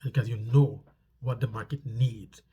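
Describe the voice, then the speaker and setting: A man's voice: mid-range voice, steady tone, a little slow, mellow, slightly rough. A man with a stuffy nose talks casually to viewers as a vlog host, explaining things conversationally and repeating phrases for emphasis.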